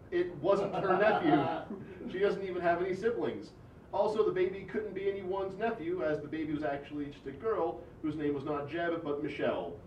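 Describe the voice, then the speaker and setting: A man reading the narrator part of a comedy script in a deadpan mock-documentary way. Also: fairly high pitch. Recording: distant, roomy, dull audio.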